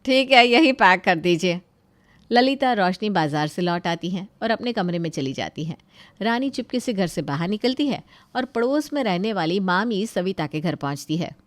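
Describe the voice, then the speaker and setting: A woman's voice: lowish voice, animated, moderate pace, dry recording. A woman narrator reading a scripted family story.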